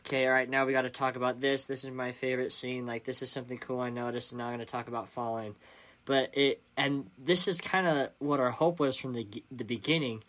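Young man, medium pitch, easygoing, laughs a lot, casual podcast chat. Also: a bit bright. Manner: easygoing, laughs often, hesitant restarts. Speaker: podcast co-host, guest.

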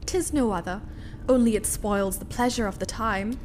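An imperious queen from Shakespearean tragedy, played by a woman, pitched on the high side. She is scornful and commanding, with theatrical delivery. There is faint noise on the recording. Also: moderate pace, lightly acted. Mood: urgent composure. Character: commanding, scornful queen covering for her husband. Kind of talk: dramatic reading of Shakespearean tragedy.

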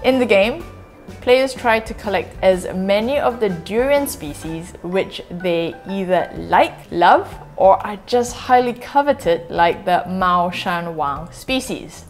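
A woman in her forties from Singapore, hosting a family board game channel with clear, measured, upbeat scripted narration recorded in a living room. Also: mid-range voice, expressive, faint music behind. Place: home living room, indoors.

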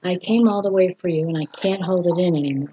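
Menacing obsessed male intruder, read by a woman, mellow. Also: fairly low pitch, quick pace, lightly acted. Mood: obsessive. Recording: dull lo-fi sound with faint noise.

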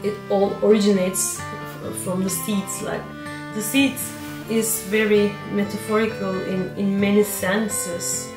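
Slow, thoughtful woman with Turkish accent. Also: mid-range voice, flat delivery, roomy sound, over music, low-quality recording. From Turkey. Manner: thoughtful, with hesitations and fillers. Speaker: farmer. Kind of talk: casual interview.

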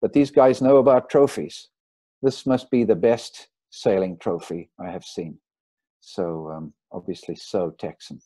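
Man, low mellow voice, dry close sound, rambling easygoing lecture, video call. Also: measured pace, no echo. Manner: rambling, relaxed, with fillers and restarts. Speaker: marine photographer giving a lecture. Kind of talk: casual slideshow talk. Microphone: video call mic.

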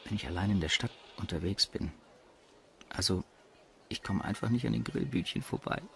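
An actor playing a sheepish, confessional husband in a radio drama. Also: male voice, sits low, normal speed, a bit bright, slight background noise. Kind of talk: German radio drama acting.

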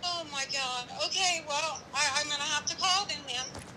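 A woman's voice: high voice, normal speed, sharp tone, a little hiss or hum. A middle-aged woman talking on a phone call, heard through a phone speaker. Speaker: mother. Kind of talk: phone conversation.

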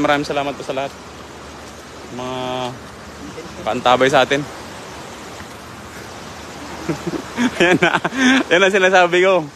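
A man's voice: on the high side, fairly bright tone, a little hiss or hum. A young man hosting a live fishing stream outdoors by a river, greeting viewers by name in a chatty, polite manner, speaking into a handheld phone. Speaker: livestream host.

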